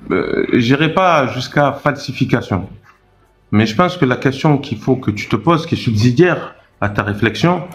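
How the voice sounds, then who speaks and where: A man speaking in a live online discussion, explaining slowly and deliberately, often restarting his phrases. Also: mid-range voice. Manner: measured, explanatory, with restarts and pauses. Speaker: guest speaker on Islam.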